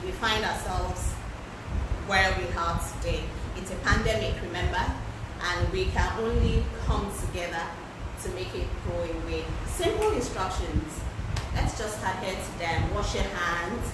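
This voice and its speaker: A woman teaching a pattern-drafting class over a livestream, talking loudly and repeating key words for emphasis. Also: medium pitch. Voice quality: loud voice. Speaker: fashion pattern-drafting instructor. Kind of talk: live-streamed class, casual instruction. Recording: echoey distant livestream with background noise.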